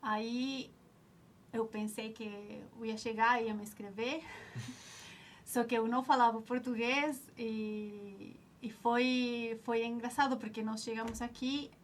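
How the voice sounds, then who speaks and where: A woman's voice: on the high side, steady tone, slow pace, slight background noise. A woman in her forties from Ecuador, a native Spanish speaker with an Ecuadorian Spanish accent, reflectively recalling her story as an interview guest and often restarting as she searches for words. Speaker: nutritionist, alumna guest. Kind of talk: reminiscing interview answers.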